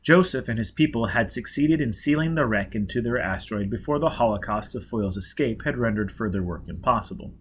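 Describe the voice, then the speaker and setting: A man narrating a science fiction novel as an audiobook in a steady, even way. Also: medium pitch, brisk, hardly any pauses, a little hiss or hum. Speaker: audiobook reader.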